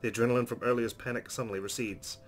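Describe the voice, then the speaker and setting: A man's voice: mid-range voice, steady tone, racing, slight background noise. A man hosting a let's play, reading visual novel narration aloud expressively and adding his own commentary. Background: soft game music.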